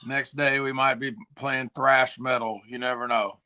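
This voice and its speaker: A hoarse man, a musician guest chatting casually and laid-back on a video call. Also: medium pitch, level tone, measured pace, sharp tone. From Tennessee. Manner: laid-back, slangy, says "man" and "dude". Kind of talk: casual chat.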